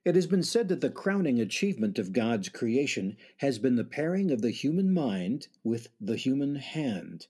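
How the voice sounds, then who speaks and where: A man playing a doubtful, philosophical doctor in a radio drama, speaking in a measured way. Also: medium pitch, very animated, dark tone, slightly rough. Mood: skeptical.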